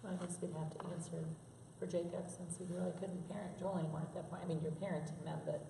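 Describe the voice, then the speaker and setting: A woman, hoarse from allergies, talking frankly about parenting. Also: fairly low pitch, flat delivery, fast talker, background noise. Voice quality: gravelly. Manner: frank, chatty, laughs often. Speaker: interview guest, mother. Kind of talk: candid conversational interview. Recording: echoey room from a distance.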